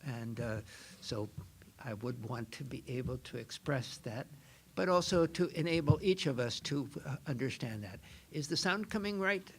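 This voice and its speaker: An older man, a Jesuit priest, giving an informal lecture in a soft voice, chatty and self-deprecating, often restarting his sentences. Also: mid-range voice, expressive, normal speed. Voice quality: soft-spoken. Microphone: microphone.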